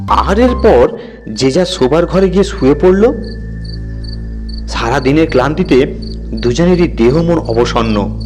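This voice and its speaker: A man reads a scripted horror story as a dramatic narrator. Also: on the high side. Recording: music bed behind the voice. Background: music.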